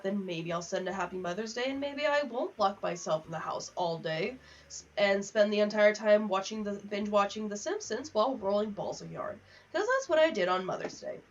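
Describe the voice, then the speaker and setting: Female game streamer, mid-pitched, wry and sweary casual chatter, slightly distant, muffled home mic. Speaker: livestream host. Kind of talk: casual gaming livestream chat, reading in-game letters aloud. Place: home office.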